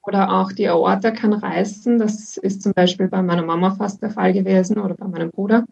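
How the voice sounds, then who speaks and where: A woman in her twenties from Vienna, speaking, calmly explaining as a guest in an interview with many fillers. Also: mid-range voice, a little fast, gravelly, husky, highs cut off. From Austria. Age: 20s. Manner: calm, informative, with many fillers.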